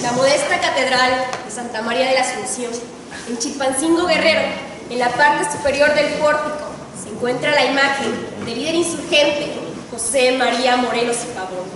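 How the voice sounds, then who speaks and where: A young woman student with a Mexican accent giving a formal, solemn oratory speech with emphatic delivery and dramatic pauses. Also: sits high. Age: teens to young adult. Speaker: student contestant in an oratory contest. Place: large reverberant indoor hall. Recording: echoey hall, distant mic, faint noise.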